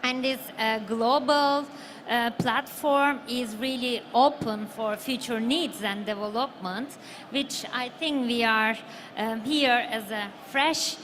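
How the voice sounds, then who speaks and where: A woman with a Turkish accent speaking on a live panel as an academic, haltingly searching for words. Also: on the high side, a bit bright, slight background noise. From Turkey. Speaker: university academic, panel member. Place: live stream stage at a busy event.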